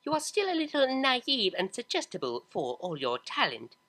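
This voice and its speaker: A man's voice: very high voice, huge pitch swings, normal speed, bright, forceful tone, pitched above the performer's own voice, exaggerated voice. A man voicing a stern, commanding pony mother from a story, formal and condescending. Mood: stern, disdainful.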